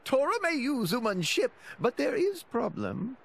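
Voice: medium pitch, huge pitch swings, a little slow, gravelly, fairly bright tone, husky, exaggerated voice. An actor playing an older furry male creature merchant in a video game, blustery and friendly, speaking in broken grammar. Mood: hesitant. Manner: broken grammar, drops articles, exclaims. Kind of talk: video game character acting.

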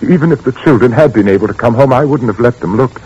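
An actor playing a grieving, tormented widower in a 1940s radio drama, pleading and anguished. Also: male voice, mid-range voice, fast talker, warm tone, slightly rough, close to an everyday voice. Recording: dull old radio recording with faint noise.

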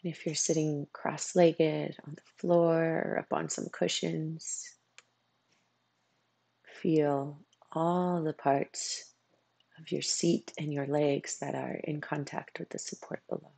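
A meditation coach guiding a practice in a soothing way, with unhurried phrases, long pauses and a husky, gravelly voice. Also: mid-range voice, little pitch movement, a little mellow, no room sound. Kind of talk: guided meditation.

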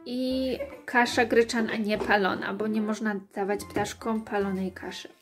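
A woman's voice: mid-range voice, little pitch movement, moderate pace, over music. A woman in her thirties explaining things to children in a casual, hesitant way, indoors at home. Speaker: host of children's videos, mother. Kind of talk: casual instructional chat with children.

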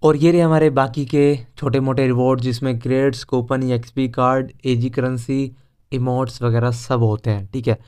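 A man's voice: medium pitch, fairly even intonation, moderate pace, darkish tone, clear, resonant tone. A young man with an Indian accent giving casual, chatty game walkthrough commentary as a video host. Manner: informal, chatty, mixes Hindi with English words.

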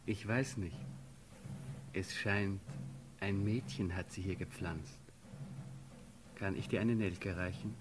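An actor playing a gentle cavalry officer in a classical radio play, speaking formally and deferentially. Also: male voice, low-pitched, fairly even intonation, slow, long pauses, over music. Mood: quiet, gentle. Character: gentle, courteous cavalry officer attending a prince.

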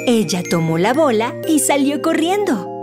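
A woman tells a children's story in a high voice. Her delivery is expressive and very animated, with deliberate phrasing. Music plays clearly underneath. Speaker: narrator. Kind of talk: scripted children's story narration.

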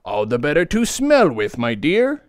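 A man voicing a wicked, sly male wolf in a storybook reading, with a gruff voice. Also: a little high, wildly swooping pitch, normal speed, no echo, clean studio sound.

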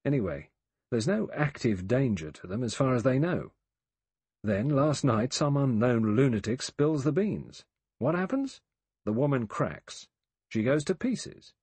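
A man's voice: mid-range voice, sing-song, measured pace, breathy edge, dry recording. Reader as a blunt, swooping, suspicious investigator man. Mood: aggressive theorizing. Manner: forceful, colloquial, rhetorical questioning. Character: blunt, aggressive male investigator, suspicious and cocksure.

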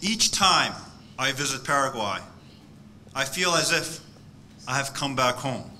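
A man, delivering a measured, emphatic keynote speech at a podium microphone in a conference hall. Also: mid-range voice, animated, bright, forceful tone, a little hiss or hum. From born in South Korea, raised in the United States. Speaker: keynote speaker. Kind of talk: formal prepared speech.

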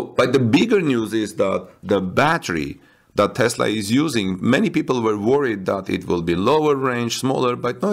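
A man with a somewhat low voice reads tech news as a voice-over. He breaks off into casual asides, stumbling over fillers and restarting his sentences. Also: vocal fry. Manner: halting, with frequent fillers and restarts. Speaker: tech news channel host. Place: voice-over over stock footage.

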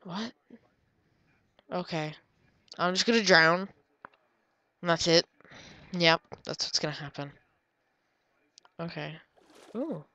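A young woman talks through her game at an easy, unhurried pace, her voice stuffed and nasal from a cold. The tone sits a little low but comes out bright and forward, rambling from one thought to the next. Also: no echo, lo-fi sound. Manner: chatty, rambling, drifts into murmurs. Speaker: gamer. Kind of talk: casual gameplay commentary.

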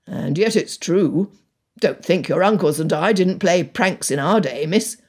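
A woman voicing a stern, wry, middle-aged upper-class father with a measured, drawling delivery in a storybook reading. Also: on the low side, animated, darkish tone, husky, exaggerated voice. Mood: dry amusement. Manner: measured, drawling, drily teasing. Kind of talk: dramatised audiobook dialogue.

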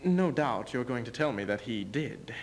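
A young man playing an aging, embattled English king in a theatrical stage reading, bitter and mocking, with deliberate, declamatory speech. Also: medium pitch, animated, natural voice. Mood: gloating. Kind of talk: theatrical readers theater duo performance. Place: stage in front of curtain. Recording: noisy amateur stage video sound.

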